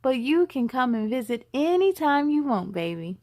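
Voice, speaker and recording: A woman voicing a doting, gentle grandmother in a storybook, soft and reassuring. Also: high-pitched, big pitch swings, normal speed, no echo, studio quality. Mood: gently soothing.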